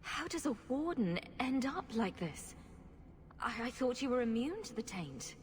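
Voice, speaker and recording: A woman's voice: a little high, sing-song, unhurried, a little hiss or hum. A woman playing a sarcastic rogue adventurer in a video game, with a wry, flippant way of talking. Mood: questioning. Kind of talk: video game character acting. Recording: reverberant hall, music behind.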